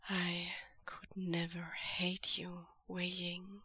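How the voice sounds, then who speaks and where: A woman voicing a quiet, reserved young man who speaks softly and sparingly, sounding tired and sad. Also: medium pitch, flat delivery, takes it slowly, dry recording. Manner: soft, hushed and sparing with words.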